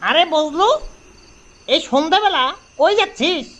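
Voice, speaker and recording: Man putting on very high-pitched chatty young villager. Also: measured pace, a bit bright. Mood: teasing, friendly.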